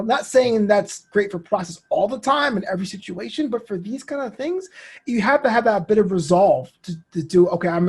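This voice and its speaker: A husky-voiced man talks casually as an interview guest. He explains things like a storyteller, slipping into acted-out quotes to make his points. The voice has a rough, unclear edge to it. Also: high-pitched. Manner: animated storytelling, acts out imagined quotes. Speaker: interview guest, actor and podcast host.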